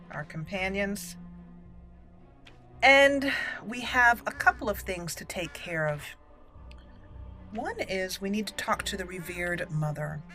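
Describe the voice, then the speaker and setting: A woman of middling pitch hosts a video game playthrough. She narrates in a roleplay style and muses aloud, letting sentences trail. Music plays faintly behind her voice. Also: bright, forceful tone. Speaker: gaming channel host.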